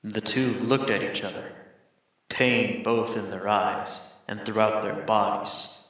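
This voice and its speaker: A man's voice: fairly low pitch, fairly even intonation, slow, a bit bright. A man reading scripted third-person narration for an audio drama. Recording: very echoey, slightly muffled.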